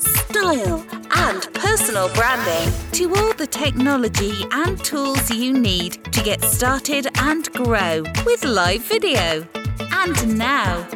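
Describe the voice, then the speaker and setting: Lively woman announcer over loud music. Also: high voice, takes it slowly. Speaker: show announcer. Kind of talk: scripted podcast intro. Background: loud upbeat music.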